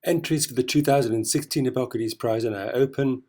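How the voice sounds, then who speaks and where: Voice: medium pitch, fairly quick, a little mellow, echoey room, a little hiss or hum. An interviewer for a poetry prize, asking questions in a polite, formal manner.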